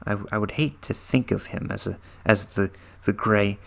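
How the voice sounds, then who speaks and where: A man's voice: on the low side, normal speed, gravelly. A man playing a haunted, troubled chronicler in a horror story reading, stammering uneasily and trailing into whispers. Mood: anxious dread.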